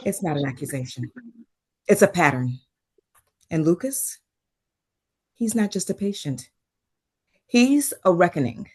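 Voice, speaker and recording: Woman acting a composed, lowish-voiced doctor. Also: animated, unhurried, pauses often, a little mellow, husky. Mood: confrontational. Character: composed, strained woman doctor, quietly confrontational. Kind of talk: table read of a screenplay.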